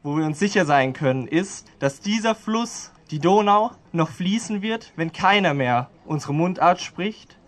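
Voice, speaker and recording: A young man delivers a commemorative speech. His voice is on the high side. He speaks proudly, building his phrases with rhetorical repetition. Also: lively intonation, measured pace, few pauses. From Germany. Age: young adult. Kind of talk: public speech.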